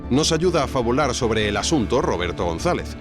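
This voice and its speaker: An actor playing an earnest investigative documentary narrator with an accent from Spain, reading scripted narration. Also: male voice, mid-range voice, sing-song, fairly quick, over music, natural voice. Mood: speculative. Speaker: narrator, host.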